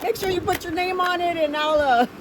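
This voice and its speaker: A woman with an excitable, gushing way of talking, chatting casually as she hosts an unboxing vlog. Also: fairly quick, noisy background. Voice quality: high voice when excited. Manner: gushing, effusive, easily excited. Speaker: vlogger, host of an unboxing channel.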